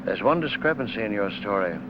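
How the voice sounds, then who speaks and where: Man to play cool-headed attorney in a noir film: authoritative, reasoning, on the deep side, dull vintage movie sound with heavy road rumble. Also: expressive, quick pace, natural voice. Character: calm, shrewd male lawyer held at gunpoint. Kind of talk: 1950s film noir acting. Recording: muffled old film soundtrack, loud engine noise.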